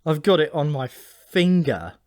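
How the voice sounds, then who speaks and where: Everyman for a comic fantasy audiobook , acted by a man: naive, plain-spoken, questioning, pitched up. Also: very animated, measured pace, a little mellow. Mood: amused. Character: ordinary modern man, naive, amused, puzzled.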